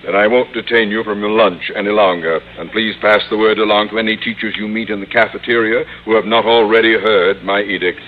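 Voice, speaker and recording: An actor playing a pompous, irascible middle-aged school principal in an old-time radio comedy, with formal, blustering delivery. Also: male voice, fairly low pitch, fairly even intonation, fairly quick, hardly any pauses, phone-quality sound, natural voice. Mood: stern, pompous. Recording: noisy old radio recording, studio audience.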